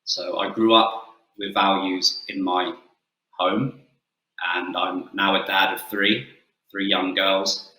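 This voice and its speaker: Coach giving a talk, English accent, deep bright voice, earnest, roomy sound. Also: male voice, level tone, slow. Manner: earnest, with frequent "uh" fillers. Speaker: football coach and guest speaker. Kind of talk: presentation talk.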